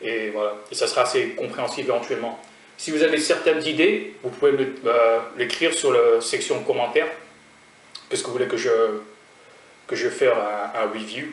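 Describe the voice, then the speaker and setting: A man from France with a French accent chats loosely with his viewers, his thoughts drifting from one to the next as he tastes his food. His pitch sits in the middle of the usual range for a man. The mic is set back from him, so his voice comes through roomy and echoey. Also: normal speed. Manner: chatty and rambling, talking straight to viewers. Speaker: food vlogger. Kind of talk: casual food tasting vlog. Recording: roomy sound from a distant mic.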